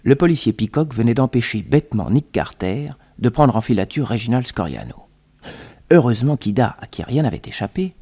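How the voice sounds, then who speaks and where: Casting: storyteller for a detective serial, mid-range voice with some rasp, building suspense. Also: male voice, medium pitch, dark tone. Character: detective's loyal assistant recounting the adventure. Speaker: narrator. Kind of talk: scripted suspense narration, French radio serial.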